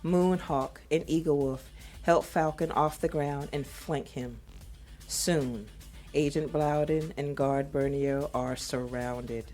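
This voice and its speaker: A woman reading scripted audio drama narration in a measured, descriptive manner. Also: low-pitched, steady tone, a little mellow, soft background music.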